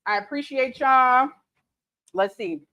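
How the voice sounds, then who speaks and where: Chatty high-voiced woman in her late thirties. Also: slow, pushed and bright, clean tone, highs cut off. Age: late 30s. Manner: chatty, laughing, reads viewer comments aloud. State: tipsy from mimosas. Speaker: cooking livestream host. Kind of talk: casual livestream chat.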